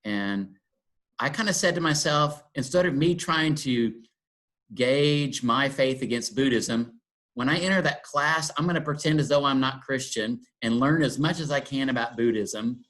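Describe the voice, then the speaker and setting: A middle-aged man from Texas speaks in a thoughtful, unhurried way. He pauses with ums and circles back on his phrases as he works through an idea. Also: medium pitch, a bit bright, rough recording. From Texas, USA. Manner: thoughtful, many ums and restarts. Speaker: interfaith dialogue guest. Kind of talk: reflective conversation.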